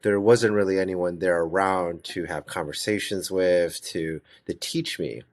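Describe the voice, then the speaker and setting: Male guest, 30s, US-raised, lowish voice, loose rambling storyteller. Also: fairly even intonation, measured pace. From United States. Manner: rambling, with frequent restarts and fillers. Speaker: interview guest. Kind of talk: casual interview storytelling.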